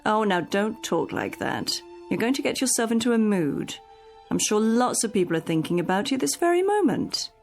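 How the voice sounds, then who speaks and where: Kindly patient housekeeper lady, radio play, coaxing, really up and down pitch, bit creaky. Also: very animated, normal speed, faint music behind. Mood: soothing. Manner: soothing, coaxing. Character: kindly, patient, practical female daily housekeeper. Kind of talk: radio drama acting.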